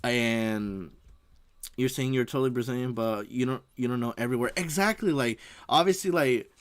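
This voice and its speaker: A man's voice: medium pitch, moderate pace, clear, resonant tone. Rambling American man reacting on stream. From United States. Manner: rambling, heavy on filler words. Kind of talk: casual reaction commentary.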